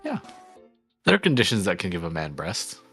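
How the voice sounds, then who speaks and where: Very low-voiced teasing man guest. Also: huge pitch swings, creaky, a bit bright, husky, soft background music. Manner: dry teasing, quick confident explanations. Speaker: podcast guest. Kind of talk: casual chat.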